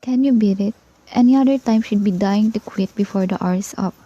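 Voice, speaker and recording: A woman with a husky voice playing an exasperated, studious older sister in an amateur radio play. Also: medium pitch, a little fast, warm tone, dull audio, lightly acted.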